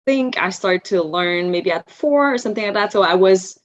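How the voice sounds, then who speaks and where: A woman whose first language is Vietnamese talks as a guest in a relaxed podcast conversation. She is reflective, often circling back and restarting as she looks for her words. Her voice sits at a middle pitch and comes through a somewhat muffled, lo-fi recording. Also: normal speed. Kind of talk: casual conversation. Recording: slightly dull lo-fi sound.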